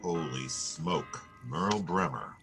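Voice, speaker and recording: An actor playing a tough, wry private detective with clipped, wisecracking delivery, in 1940s radio noir style. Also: male voice, very deep voice, lively intonation, slow, vocal fry, music in the background, heavily acted. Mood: grim, musing.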